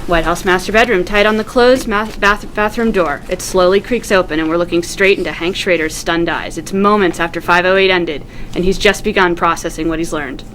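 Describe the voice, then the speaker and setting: A woman's voice: lowish voice, fairly even intonation, fairly quick, a bit bright, slight background noise, poor audio. A woman reading screenplay stage directions aloud as scripted narration, in a vivid storytelling manner.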